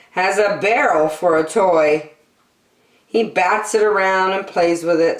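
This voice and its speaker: A woman's voice: lowish voice, roomy sound. A woman hosting story time, reading a picture book aloud to young children expressively, with chatty asides and questions.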